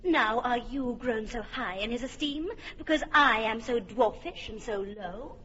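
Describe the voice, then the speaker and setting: A woman's voice: on the high side, a little slow, a bit bright, long reverb tail, dull audio. Helena, a wounded young woman. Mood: furious. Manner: impassioned verse, fierce and wounded. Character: Helena, a jilted young Athenian woman, tall and wronged. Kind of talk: classic stage radio drama.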